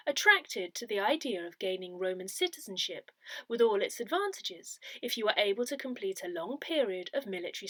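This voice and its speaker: A woman reading newsletter articles aloud in a measured, expressive way, with clear phrasing. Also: medium pitch, no room sound. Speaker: reader of an audio parish newsletter. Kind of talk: scripted narration, read-aloud articles.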